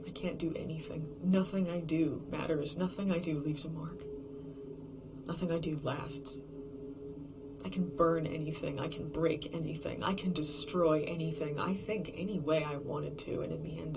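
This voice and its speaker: A performer voicing a despairing, obsessive lone survivor in a horror fiction podcast, brooding and halting in a confessional monologue, often dropping toward a whisper. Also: mid-range voice, creaky, background noise, lightly acted. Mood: bitter despair.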